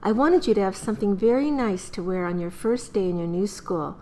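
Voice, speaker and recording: An American woman playing a brisk, caring mother of a young family in a radio play. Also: mid-range voice, lively intonation, darkish tone, a little hiss or hum, natural voice. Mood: reassuring. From United States.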